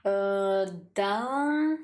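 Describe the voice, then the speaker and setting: Female co-host, medium pitch, casual gaming chat with clear music underneath. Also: very slow. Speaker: co-host of game stream. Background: game music.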